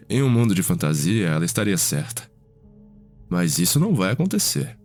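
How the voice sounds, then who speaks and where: A man playing a genial, refined vampire nobleman with a gentle, measured manner, in an ASMR roleplay story. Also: fairly low pitch, sing-song, heavily acted. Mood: fond, amused. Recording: music behind the voice.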